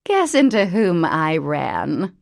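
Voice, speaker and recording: A woman's voice: fairly low pitch, big pitch swings, takes it slowly, gravelly, no room sound, studio quality, full character voice. A woman voicing a vain, bossy middle-aged society mother in an audiobook, theatrical and dramatic. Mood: scheming. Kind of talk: audiobook character voice.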